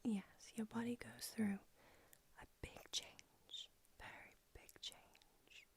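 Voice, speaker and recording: A woman's voice: fairly high pitch, level tone, takes it slowly, gravelly, a little mellow. A woman whispering as an ageless female vampire doctor in an ASMR roleplay, with dry, blunt, slightly condescending remarks. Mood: clinical whisper. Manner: whispered throughout.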